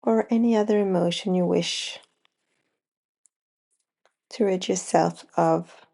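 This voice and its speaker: A woman leads a guided relaxation as a yoga nidra teacher. Her voice is mellow and soft-edged, with a clear creak of vocal fry. She speaks very slowly, in short phrases separated by long pauses. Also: lowish voice. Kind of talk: slow guided relaxation meditation.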